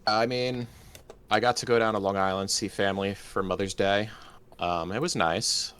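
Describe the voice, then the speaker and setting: Low-voiced man in casual chat. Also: fairly even intonation, moderate pace, a bit bright, clean tone. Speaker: podcast co-host.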